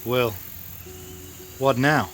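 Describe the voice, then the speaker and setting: A man playing a captured card-sharp outlaw, dry and resigned, in an old-time western radio drama. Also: medium pitch, fairly even intonation, extremely slow, faint music behind, voice pushed up.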